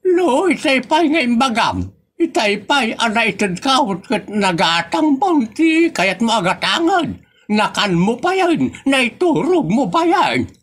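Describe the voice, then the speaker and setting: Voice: mid-range voice, sing-song, unhurried, a bit bright, husky, heavily acted. An actor playing a grumbling, impatient older Filipino man with an Ilocano accent in a comedy audio drama. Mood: impatient, irritated.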